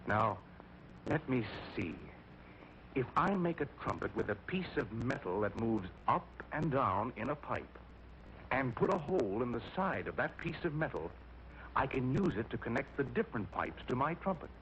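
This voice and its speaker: A man's voice: mid-range voice, sing-song, normal speed, a bit bright. Man playing a thoughtful inventor, muffled vintage sound. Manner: musing, explanatory. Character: wise musician inventor, thoughtful and methodical. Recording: dull, noisy vintage cartoon soundtrack.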